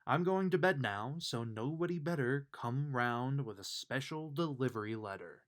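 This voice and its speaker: A man voicing a sleepy, stubborn drawbridge keeper from a storybook in a yawning, drawn-out way. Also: mid-range voice, lively intonation, close to an everyday voice. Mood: sleepy, firm.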